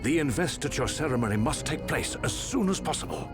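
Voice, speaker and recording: An actor playing a measured, decisive older emperor with a regal, deliberate delivery in a formal fantasy game drama. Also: male voice, a little high, lively intonation, lightly acted. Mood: grave, questioning. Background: loud background music.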